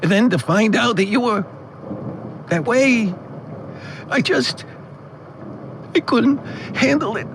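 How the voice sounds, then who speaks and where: A man's voice: extremely high pitch, animated, slow pace, pauses often, noisy background, voice pushed up, full character voice. A man playing an emotional, contrite adult son in an audio drama, pleading as he talks. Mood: tearful, apologetic.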